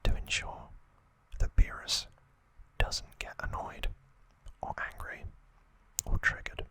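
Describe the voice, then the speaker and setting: Whispering man, slow ASMR delivery. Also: no echo. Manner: hushed, deliberate, short phrases with pauses. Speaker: ASMR host. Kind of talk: whispered ASMR episode recap.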